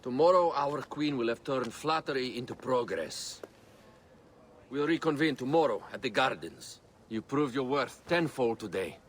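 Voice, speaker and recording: An actor playing a resolute warrior ally who speaks calmly and gravely. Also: male voice, medium pitch, moderate pace, a little hiss or hum, natural voice. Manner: calm, grave, reassuring.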